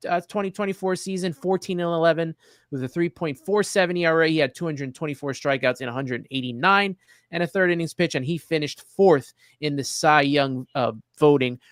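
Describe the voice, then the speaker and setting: Male host, fairly high voice, close dry sound, organized presenter delivery for sports talk. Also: moderate pace, dry recording. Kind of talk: sports talk hosting, reading chat and stats.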